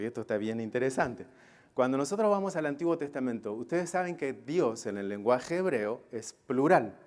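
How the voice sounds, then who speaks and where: This is a man with a mid-range voice, teaching scripture to a live class. He is didactic and builds his points step by step through questions. He often pauses to check that his listeners are following. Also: mid-range voice, a little mellow. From Israel. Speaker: Bible teacher.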